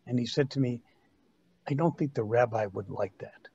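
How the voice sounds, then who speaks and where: A man's voice: medium pitch, normal speed, vocal fry, darkish tone, husky. An older man casually telling personal memories as a participant in a group video call. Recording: dry, dull video-call audio.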